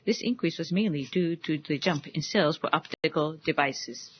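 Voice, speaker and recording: A woman giving a formal financial results presentation, reciting figures in a careful, measured way. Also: deep voice, slight background noise. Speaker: conference call presenter. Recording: dull, muffled conference call audio.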